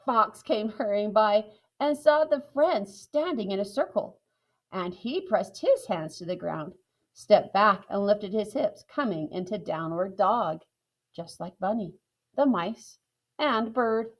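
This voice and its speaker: A woman narrating a children's picture book in a calm, clear way. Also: mid-range voice, slow pace. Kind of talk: children's storybook reading.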